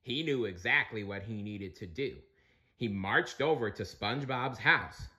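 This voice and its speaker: A man reading a children's storybook aloud as narrator, in an expressive, dramatic storytelling manner. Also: lowish voice, measured pace. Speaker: storybook reader.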